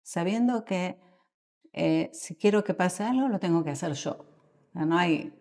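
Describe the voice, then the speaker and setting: A middle-aged Argentine woman speaks in a low register with a gravelly fry. She talks as an expert guest in conversation, choosing her words thoughtfully and pausing partway through sentences. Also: a little mellow. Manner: thoughtful, halting, with mid-sentence pauses. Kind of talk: conversational podcast interview answers.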